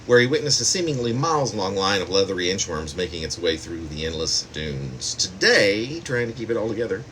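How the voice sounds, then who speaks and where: A man with a very deep voice reads his own poetry aloud. It is a poet's recitation, recorded plainly with a faint hum of air conditioning behind the words. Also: lively intonation, a little fast, fairly bright tone. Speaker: poet. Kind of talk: poetry reading of own work.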